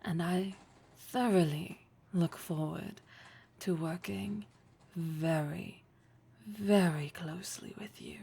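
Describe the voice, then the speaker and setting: A woman performs a dominant, wealthy lady boss in an intimate audio roleplay. Her voice sits low and mellow with a gravelly fry at the edges. She is poised and flirtatious, teasing with breathy, deliberate formality. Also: full character voice. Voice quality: breathy, husky.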